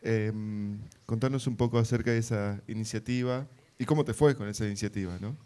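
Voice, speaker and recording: A man with a Rioplatense Argentine accent working as a radio interviewer, asking conversational questions in a tentative, halting way. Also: lowish voice, mellow, clear, resonant tone.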